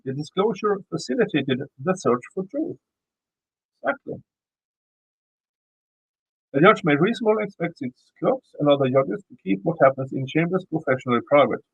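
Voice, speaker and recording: Swedish-accented man reading legal text, husky. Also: a little high, very animated, fairly quick, no echo, phone-quality sound. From Sweden. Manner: stumbling, restarting words while reading; blunt asides, cursing. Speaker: YouTube commentator. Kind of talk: reading a legal filing aloud with commentary.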